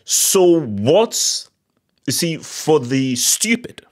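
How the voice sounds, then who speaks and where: Thirties Nigerian-accented male commentator; opinionated, sarcastic, animated; small-studio desk-mic sound. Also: on the high side, drawn-out words. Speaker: political commentary vlogger. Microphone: desk mic on boom arm.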